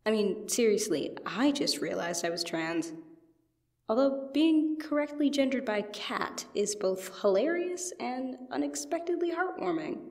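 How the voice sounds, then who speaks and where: A woman playing an expressive young genderfluid best friend in a conversational ASMR roleplay. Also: fairly high pitch, no echo, studio quality. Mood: casual, amused. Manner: teasing, casual, expressive, apologetic. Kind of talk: ASMR roleplay, one-sided conversational acting.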